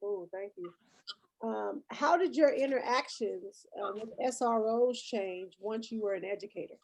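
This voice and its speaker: A woman's voice: fairly high pitch, a little slow, a little mellow. A woman hosting a conversational interview and putting questions to her guest. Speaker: host, interviewer.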